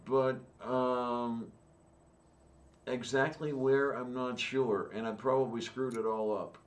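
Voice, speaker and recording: A man chatting easily on a podcast, agreeable and relaxed, now and then breaking into loud laughter. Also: mid-range voice, slow pace. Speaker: podcast co-host. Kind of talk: casual chat.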